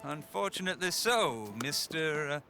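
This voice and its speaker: A man's voice: a little high, lively intonation, moderate pace, pushed and bright, faint music behind. An actor playing a refined, awkward male writer in a video game, politely stammering and hesitant. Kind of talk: video game cutscene acting.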